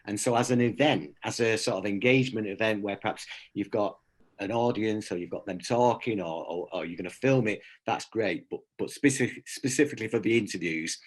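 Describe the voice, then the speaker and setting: A man speaking conversationally as a workshop presenter, explaining through anecdotes and little examples of dialogue. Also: on the low side. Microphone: video call.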